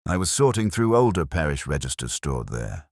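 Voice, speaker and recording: A man's voice: rumbling low, big pitch swings, moderate pace, darkish tone, dry recording, studio quality, exaggerated voice. A man voicing a bland, evasive retired solicitor in a detective story, formal, fussy and resigned. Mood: evasive explaining.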